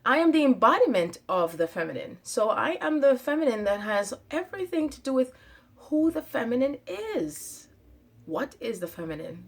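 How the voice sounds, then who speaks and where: A woman talking straight to her viewers in a spiritual monologue, stating her claims with emphasis, often repeating phrases and stopping on fillers. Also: on the high side. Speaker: channel host.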